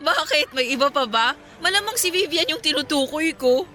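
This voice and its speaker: A woman's voice: high-pitched, animated, moderate pace, sharp tone. An actress playing a young Filipino single woman secretly in love with her friend, bantering casually in a radio drama. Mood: teasing, supportive. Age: young adult.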